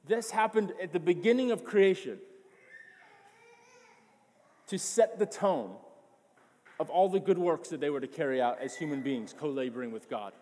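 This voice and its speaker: A man's voice: fairly high pitch, darkish tone, big-room sound. A man preaching a sermon as pastor, passionate and emphatic, often repeating words as he builds his point.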